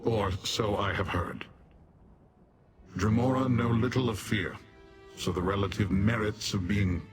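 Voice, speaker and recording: Man acting a deep-voiced, haughty demon commander. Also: over loud music, close to an everyday voice. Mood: disdainful. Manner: formal, condescending, deadpan, measured. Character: haughty male Dremora demon warrior commander, coldly disdainful of mortals. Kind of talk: video game character dialogue.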